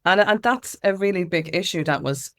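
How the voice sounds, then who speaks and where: A woman's voice: lowish voice, normal speed, fairly bright tone. A woman with an Irish accent speaking informally and emphatically as an interview guest, telling anecdotes and acting out what others said. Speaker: interview guest, music consultant and campaigner. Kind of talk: conversational interview with animated anecdotes.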